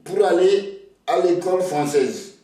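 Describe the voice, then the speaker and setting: Slow older man, very echoey room. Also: high voice, mellow, recorded from a distance. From Togo. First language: Ewe. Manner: slow, hesitant, repeats words when starting sentences. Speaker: interviewee, elder. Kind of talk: slow reflective storytelling.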